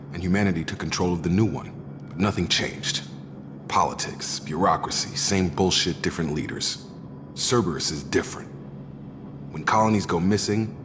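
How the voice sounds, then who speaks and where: A man playing a deep-voiced, weary ex-soldier. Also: natural voice. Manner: frank, world-weary. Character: weary, candid male ex-soldier. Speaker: game character. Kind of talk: video game dialogue. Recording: game audio with long reverb and clear hiss.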